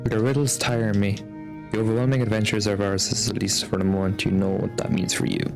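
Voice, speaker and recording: A man's voice: on the low side, flat delivery, brisk, gravelly, over music. A man playing a weary, stern, vengeful swordsman in a video game, speaking in a grave, formal way. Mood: grim defiance. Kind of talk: video game drama acting.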